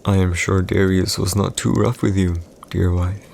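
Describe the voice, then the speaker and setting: Man playing a smug low-voiced villain emperor. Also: flat delivery, moderate pace, creaky, a little mellow, a little hiss or hum. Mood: mocking flirtation.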